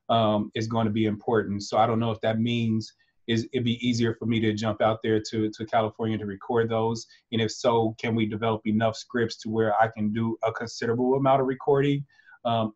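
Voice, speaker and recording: A man speaks in a low, flat voice over muffled call audio. He is measured and halting, pausing often with ums as he works through his thoughts. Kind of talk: casual video call meeting. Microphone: video call.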